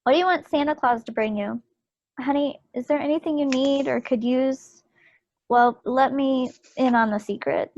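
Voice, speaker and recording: A woman's voice: high-pitched, normal speed, a little mellow, dry recording. A woman playing an affectionate, chatty young wartime wife in a table read of a stage play over a video call. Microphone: computer mic on a video call. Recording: dull, dry video call recording.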